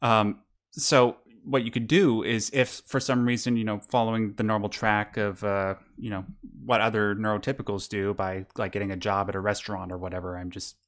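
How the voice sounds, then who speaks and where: A man's voice: lowish voice, level tone, normal speed, a bit bright. A man hosting a call-in stream, offering rambling, encouraging advice with lots of fillers and asides. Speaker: host. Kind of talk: casual call-in advice chat. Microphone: video call.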